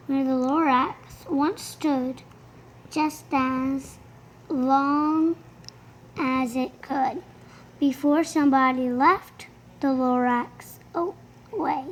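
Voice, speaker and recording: Young girl, high voice, beginning reader, very slow read-aloud, stumbles on tricky words and repeats them. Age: child. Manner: sounds out hard words, repeats after a helper. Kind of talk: halting read-aloud of a rhyming storybook.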